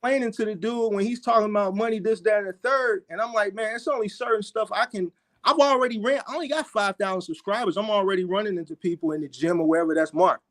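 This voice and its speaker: A man's voice: high voice, fast talker, a bit bright. A man in his forties, a guest on a casual podcast chat, talking in a laid-back, reflective way with lots of "you know what I'm saying.". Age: 40s. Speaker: guest, fellow YouTuber.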